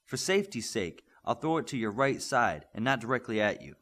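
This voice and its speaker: A quick-minded young male team leader from a superhero audiobook , acted by a man. He sounds inquisitive and analytical, with very varied pitch. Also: sing-song, a bit bright. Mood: encouraging. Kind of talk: audiobook character voice.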